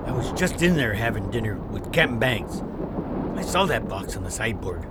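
Against clear background noise comes a wily, seasoned pirate, acted by a man for an audio drama. He talks in rough, colloquial sailor dialect with a swagger. His pitch swings widely from line to line. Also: sing-song, normal speed. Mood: scheming. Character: rough, wily veteran pirate, Captain's trusted old hand.

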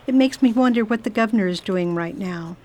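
A brisk lady traveler gives orders in a firm, commanding tone, played by an actress in a radio play. Her voice stays even and steady. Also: mid-range voice, close to an everyday voice. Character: brisk determined Englishwoman traveler. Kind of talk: radio drama acting.

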